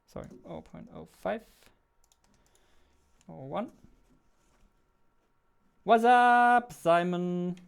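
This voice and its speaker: Young man, gravelly yet clear voice. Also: high-pitched, extremely slow, pauses often. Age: 20s. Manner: muttering to himself, repeating phrases. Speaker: game developer livestreamer. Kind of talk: casual livestream chat while working. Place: indoor room at a computer desk. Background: keyboard typing and mouse clicks.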